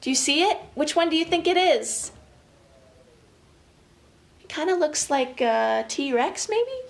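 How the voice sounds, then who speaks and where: An American woman leading children's story time as an educator, expressive and chatty, reading and giving instructions directly to young listeners. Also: high voice, takes it slowly, creaky. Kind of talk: animated picture-book reading and casual guided instruction for young children.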